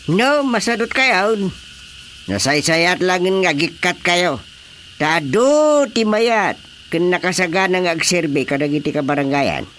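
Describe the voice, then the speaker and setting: A man's voice: high voice, measured pace. A man acting an authoritative, orderly village chairman in an Ilocano radio drama, emphatic and official, with an Ilocano accent. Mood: stern, official. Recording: steady background noise.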